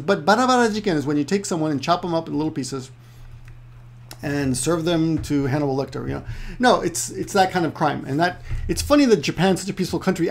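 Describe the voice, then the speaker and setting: A middle-aged man from Peru who lives in Japan, giving a casual online Japanese lesson in a rambling way, correcting himself often and adding joking asides. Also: on the high side. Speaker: Japanese language teacher.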